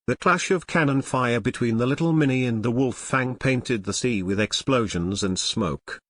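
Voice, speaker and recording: A man's voice: mid-range voice, moderate pace, dry recording. A man narrating an audio drama in a scripted, dramatic storytelling style.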